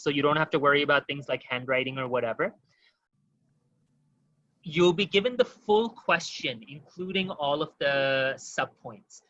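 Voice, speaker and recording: A man teaching an online exam-prep webinar, talking quickly and informally to his audience with many fillers. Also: on the high side, pushed and bright, no room sound, dull audio.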